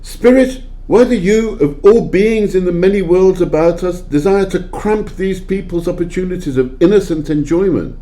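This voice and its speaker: A man's voice: high-pitched, normal speed, hardly any pauses, dark tone, close to an everyday voice. A man playing an anxious miser who earnestly questions a spirit in an audio play. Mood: questioning.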